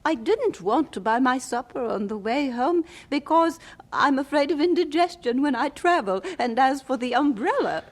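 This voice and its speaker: An actress playing a wealthy elderly widow in an audio drama, genteel and deliberate, devout and quietly amused by the young. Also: female voice, sits high, lively intonation. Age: older. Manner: genteel, deliberate, addresses others as young people.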